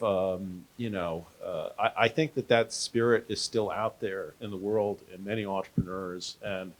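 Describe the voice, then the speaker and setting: Male panelist, low voice, unscripted and halting delivery, thinking aloud at a live event. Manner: halting, frequent "you know". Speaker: panel speaker.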